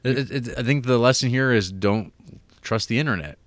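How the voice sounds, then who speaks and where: A man's voice: medium pitch, a little fast, dry recording. A man co-hosting a podcast, reviewing a film in a rambling, casual way with lots of fillers and wry asides. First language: English.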